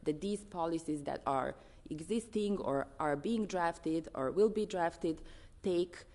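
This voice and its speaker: Woman, Serbian accent, low pitch, formal conference speech, advocate, earnest, halting, deliberate emphasis. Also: darkish tone. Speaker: advocacy organisation representative speaking at a conference.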